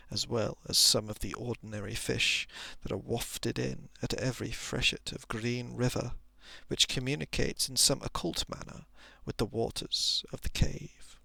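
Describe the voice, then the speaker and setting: A man reading a story in a hushed, deliberate ASMR narration style, often slipping toward a whisper, close to the microphone. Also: mid-range voice, no room sound. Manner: hushed, breathy whispering at times, deliberate literary phrasing. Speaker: storyteller reading a short thriller.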